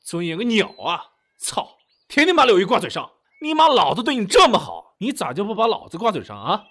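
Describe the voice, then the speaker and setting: A man's voice: on the low side, sing-song, fairly quick. An actor in an audio drama playing a modern young man who is doting, exasperated and secretly lovesick. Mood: furious, jealous.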